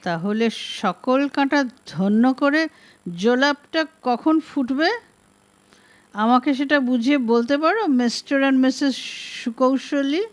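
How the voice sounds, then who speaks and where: A woman's voice: high voice, takes it slowly, pitched above the performer's own voice. A woman reading an audiobook as an older male barrister, tipsy and exasperated, with sarcastic, theatrical mock formality. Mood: exasperated outburst. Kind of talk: audiobook detective story reading.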